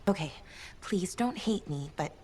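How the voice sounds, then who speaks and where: A woman's voice: fairly low pitch, animated, unhurried, a little mellow, slight background noise. A woman playing a spirited, cheeky young noblewoman adventurer in video game cutscene acting, with a breezy, impulsive, informal manner. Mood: sheepish, brisk.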